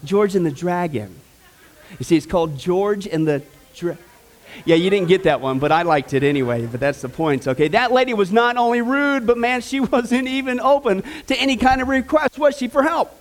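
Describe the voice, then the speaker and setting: A man's voice: high-pitched, moderate pace. A man preaching a sermon in a church sanctuary, telling a joke and making folksy asides to the congregation. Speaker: pastor.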